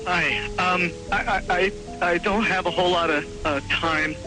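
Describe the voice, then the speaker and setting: A man calling in to a late-night radio show over the phone, stammering, hurried and fearful. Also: high voice, steady tone, over music, poor audio. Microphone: telephone line.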